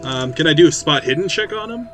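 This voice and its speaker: A man's voice: a little high, animated, fast talker, soft background music. A man casually playing a tabletop horror game, moving between table talk and speaking as his character.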